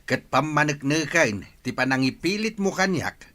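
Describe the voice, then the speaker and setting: Forceful, bright-voiced Ilocano village patriarch played by a man. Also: on the high side, lively intonation, normal speed. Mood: confrontational. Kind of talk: Ilocano radio drama acting.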